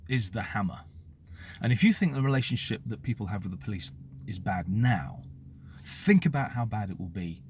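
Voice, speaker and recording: A middle-aged man from the United Kingdom with a British accent, a former police officer, speaking to the camera in a measured, explanatory way. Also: on the low side, darkish tone, slight background noise. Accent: British English. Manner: measured, explanatory, occasional fillers. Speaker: former police officer giving his view. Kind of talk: direct-to-camera opinion talk.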